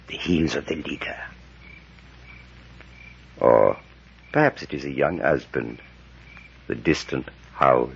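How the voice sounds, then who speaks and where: An actor playing a grave, scholarly European professor in a 1940s radio serial, deliberate and building to theatrical intensity. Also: male voice, rumbling low, fairly bright tone, slight background noise, full character voice. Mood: feverish, dramatic. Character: grave elderly male European professor, steeped in dark lore. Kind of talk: 1940s radio acting, dramatic storytelling. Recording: muffled vintage 1940s radio recording.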